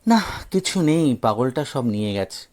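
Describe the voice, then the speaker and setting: A man's voice: medium pitch, sing-song, measured pace, a little mellow. A man voicing a sly petty thief in an audio story, muttering to himself in gloating, self-pitying, mock-pious exclamations. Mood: disappointed.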